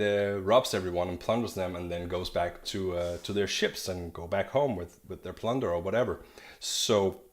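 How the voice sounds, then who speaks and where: A low-voiced man talks through a casual manga review. He sounds enthusiastic and rambles, often restarting his sentences. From Denmark. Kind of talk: casual video review monologue.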